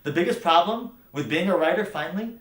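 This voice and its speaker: An actor playing a bitter, brutally frank writing teacher in a theatrical monologue, speaking with scorn, emphasis and profanity. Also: male voice, deep voice, normal speed, natural voice. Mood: heated. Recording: roomy echo, light background noise.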